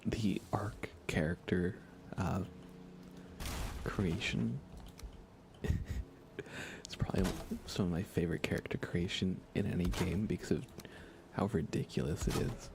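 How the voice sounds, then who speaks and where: A soft-spoken man chatting casually in an ASMR game livestream, often slipping into a whisper as he rambles along unhurriedly. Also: low voice, a little slow, vocal fry, long pauses, background noise. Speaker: live streamer. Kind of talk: soft ASMR gaming stream, casual chat with viewers.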